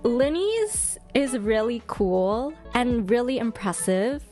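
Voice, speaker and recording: A woman streamer giving casual, chatty fan commentary to her live chat in an expressive, slangy way. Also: on the high side, slow, faint music behind. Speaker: streamer hosting a ranking video.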